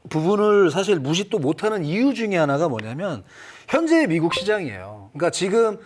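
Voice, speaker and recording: Casting: male sports analyst, high voice with animated intonation, explanatory panel talk. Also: brisk, background noise. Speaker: baseball analyst.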